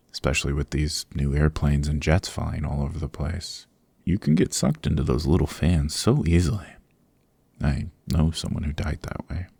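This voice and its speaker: A man playing a playful, theatrical vampire over a century old in a chatty ASMR roleplay, with a gravelly, husky voice and rambling asides. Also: very deep voice, darkish tone, no room sound, exaggerated voice. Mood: chatty, polite. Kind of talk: ASMR roleplay, close and conversational. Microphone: close, dry microphone.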